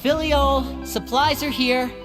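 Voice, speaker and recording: A woman playing a composed, kindly young agent in a video game, explaining gently and diplomatically, with long reverb. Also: high-pitched, steady tone, takes it slowly, music in the background. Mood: polite. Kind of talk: game character dialogue.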